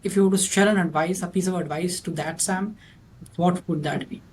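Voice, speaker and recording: A man's voice: sits high, fairly even intonation, brisk, a little hiss or hum. A man with a thick Indian accent hosting a podcast interview, asking questions with many restarts and a habit of adding "right?". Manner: restarts sentences often, tags "right?". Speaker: podcast host.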